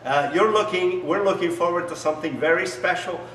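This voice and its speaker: A man's voice: a little fast, fairly bright tone, slight background noise. Middle-aged guy, english not his first language, pumped-up sales talk, echoey workshop sound. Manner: enthusiastic salesy pitch with broken phrasing. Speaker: business founder. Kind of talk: promotional demonstration. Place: large garage workshop. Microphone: camera mic from a few steps away. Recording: echoey garage, distant mic.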